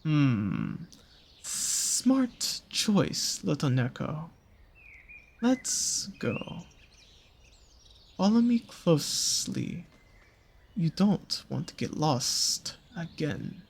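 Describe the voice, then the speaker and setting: A performer voicing a seductive, slyly menacing naga monster in an intimate audio roleplay, with a husky, breathy voice and slow, coaxing, teasing phrasing. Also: medium pitch, very animated, exaggerated voice. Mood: teasing, tender.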